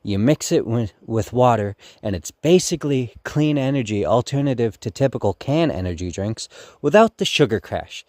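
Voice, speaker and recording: A man's voice: medium pitch, normal speed, dry recording, studio quality. Man reading a sponsor spot. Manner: even, slightly stumbling read. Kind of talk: read sponsor ad.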